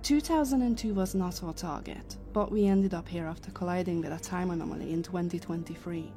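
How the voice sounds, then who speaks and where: A woman playing a composed, authoritative leader of time travelers in a science fiction audio drama, speaking in measured explanations. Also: on the low side, dark tone, faint music behind, natural voice.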